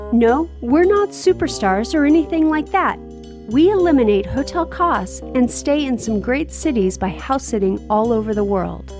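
A woman co-hosting a travel podcast, narrating in an upbeat, friendly way. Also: high-pitched. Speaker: podcast co-host. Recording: narration over background music. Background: theme music.

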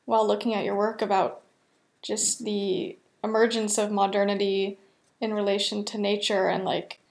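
A woman's voice: mid-range voice, little pitch movement, moderate pace, vocal fry, a little mellow, reverberant. A woman interviewer asking long, thoughtful, hesitant questions.

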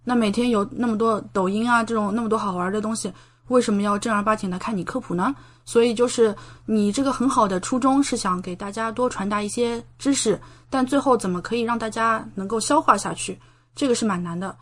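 A woman's voice: on the high side, a little fast. A woman guest on a podcast, talking casually and explaining things in a talkative way with rhetorical questions and quoted exchanges. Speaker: podcast guest, medical doctoral student and science writer.

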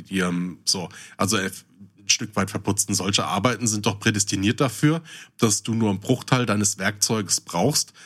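A man's voice: fairly low pitch, a bit bright. A man co-hosting a casual podcast chat, asking questions and arguing his point in detail. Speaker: podcast co-host.

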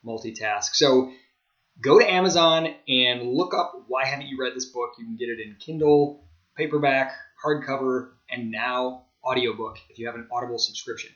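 A man's voice: medium pitch, moderate pace, fairly bright tone. A man hosting a podcast, speaking casually as he introduces and plugs material to listeners. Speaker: podcast host. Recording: dull, lo-fi microphone sound.

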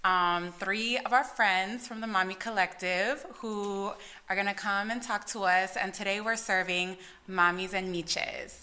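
A woman's voice: mid-range voice, steady tone, unhurried, bright, forceful tone. A young woman co-hosting a podcast, introducing guests in an eager, casual way. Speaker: podcast co-host.

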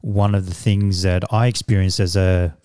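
Casting: middle-aged samoan-born man, native samoan speaker, low mellow voice, dry close sound, measured and reflective. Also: little pitch movement, smooth, dry recording. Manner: measured, reflective, with fillers and restarts. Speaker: guest, business coach. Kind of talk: conversational interview answers.

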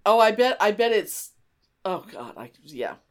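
A woman's voice: medium pitch, sing-song, unhurried, a crowd in the background. A middle-aged woman hosting a podcast in casual banter with a friend, emphatic and teasing, given to exclamations. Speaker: podcast host.

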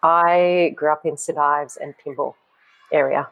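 A man, a guest telling stories from his youth in an animated way, laughing as he talks. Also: fairly high pitch, unhurried, rough recording. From Australia. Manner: animated storyteller, laughs while talking. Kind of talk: casual podcast chat.

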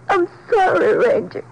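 Pleading working-class wife, high plaintive voice, plain folksy talk, old-time radio drama. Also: female voice, full character voice, rough recording. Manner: plaintive, folksy ungrammatical speech. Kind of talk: 1950s radio drama acting.

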